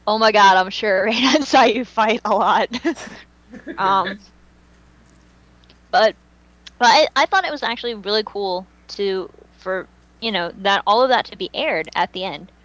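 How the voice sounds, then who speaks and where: A woman's voice: medium pitch, a little slow, long pauses, a bit bright, dry recording, highs cut off. A woman podcast host chatting casually and giving her opinions, with plenty of "like" and some swearing. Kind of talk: casual fan chat.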